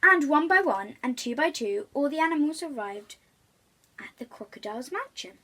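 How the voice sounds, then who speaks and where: A woman's voice: high-pitched, animated, normal speed, bright, forceful tone. A woman reading a children's storybook aloud, expressively, stressing key words.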